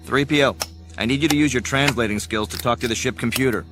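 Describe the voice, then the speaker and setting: A man's voice: mid-range voice, fast, lightly acted. An actor playing a cocky, wisecracking male star pilot in an audio drama. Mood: brisk. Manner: brash, wisecracking. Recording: loud music and battle effects.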